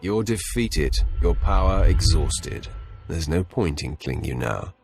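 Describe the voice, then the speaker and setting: An actor playing a confident, imposing dark warrior in a video game, speaking in a commanding, cold and defiant manner. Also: male voice, a bass voice, lively intonation, unhurried, vocal fry, full character voice. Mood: cold triumph. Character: confident, imposing young Sith warrior, the emperor's enforcer. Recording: music under the voice.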